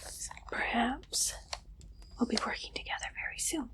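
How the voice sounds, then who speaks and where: Woman, breathy whisper, higher-pitched voice, ASMR roleplay interviewer, soft and playful delivery. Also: moderate pace.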